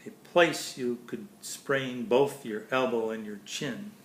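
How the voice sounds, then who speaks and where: A man reading a children's picture book aloud expressively, with pauses between phrases and chatty explanations to listeners. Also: medium pitch, a little hiss or hum. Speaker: storybook reader. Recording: echoey room.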